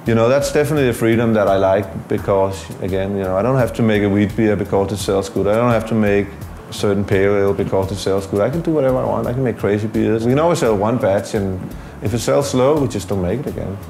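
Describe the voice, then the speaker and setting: A man, giving loose, relaxed interview answers full of fillers. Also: on the low side, quick pace, hardly any pauses, roomy sound, soft background music. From Denmark.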